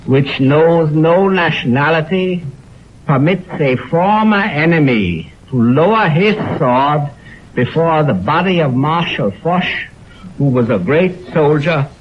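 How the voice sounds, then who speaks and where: A man giving a formal, solemn 1920s radio address as an orchestra's host, with deliberate phrasing, on an old broadcast recording. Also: fairly high pitch, expressive. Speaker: orchestra conductor hosting a radio concert. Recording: dull 1929 radio aircheck on optical film.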